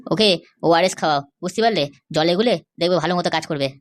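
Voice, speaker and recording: A woman's voice: lowish voice, fast, natural voice. A woman voicing an opinionated village helper in an amateur cartoon, handing out assertive, colloquial advice. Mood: brisk, defensive.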